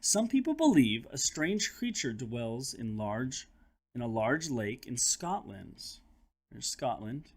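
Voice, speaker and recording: A man hosting a children's read-aloud, telling the story in a dramatic, expressive way with chatty asides. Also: medium pitch, slow pace. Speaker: host and teacher reading aloud to children.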